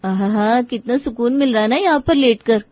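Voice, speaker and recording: A woman's voice: fairly high pitch, normal speed, no echo, highs cut off, natural voice. A woman voicing a scheming, complaining young daughter-in-law in a cartoon story. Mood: relieved. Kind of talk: acted dialogue in a cartoon story.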